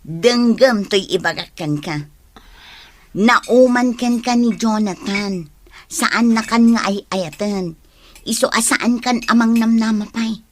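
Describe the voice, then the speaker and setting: A woman's voice: a little high, slow pace, slight background noise. A woman playing a blunt, insistent best friend with an Ilocano accent in a radio drama. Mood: blunt, persuading. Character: blunt female best friend urging a break-up.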